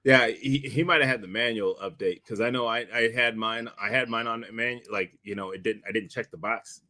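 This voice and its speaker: A man's voice: mid-range voice, normal speed, pushed and bright, no echo. A man chatting casually as a co-host, eagerly repeating and restarting his phrases. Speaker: audio drama director, co-host. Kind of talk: casual chat.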